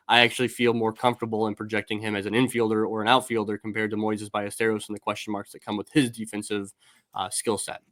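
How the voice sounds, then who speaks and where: A man's voice: on the low side, a bit bright, dry recording. A man in his thirties hosting a casual baseball podcast over a video call, talking in rambling bursts with lots of restarts. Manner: rambling, many restarts and fillers. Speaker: podcast host. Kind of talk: casual baseball prospect discussion.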